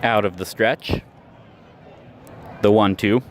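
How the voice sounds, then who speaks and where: A man with a fairly low voice calls a baseball game for radio, working through counts and stats in a steady, measured way. The live recording carries clear ballpark noise from the stands and the street outside. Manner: steady, measured call with stats between pitches, rising sharply on big plays. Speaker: play-by-play announcer. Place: outdoor ballpark.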